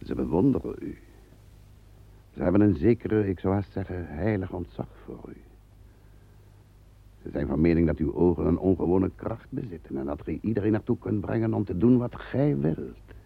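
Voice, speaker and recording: An actor playing a loyal tutor and friend to an emperor in a radio play, speaking in a reassuring, deferential way with a gravelly, husky voice. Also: male voice, sits low, expressive, moderate pace, no room sound, phone-quality sound, full character voice. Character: loyal older male tutor, soothing counselor. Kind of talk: radio drama acting.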